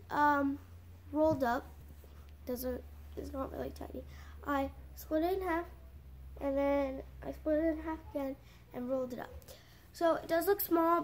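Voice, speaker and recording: A woman's voice: sits high, a little hiss or hum. A young female vlogger chatting casually in her bedroom into a camera mic, rambling with lots of fillers. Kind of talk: casual vlog. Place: small bedroom indoors.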